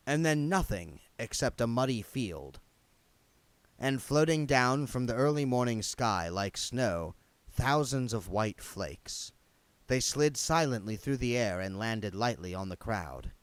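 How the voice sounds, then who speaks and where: Man, medium pitch, animated intonation, scripted book narration, dramatic, dry recording. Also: dry recording. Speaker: amateur book reader.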